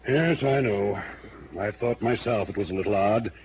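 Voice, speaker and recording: An actor playing a weary, earnest city mayor in a vintage radio crime drama, speaking in a measured, formal and careful way. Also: male voice, fairly low pitch, lightly acted. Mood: earnest, reasoning. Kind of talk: 1950s radio drama acting. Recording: tinny, noisy old radio recording.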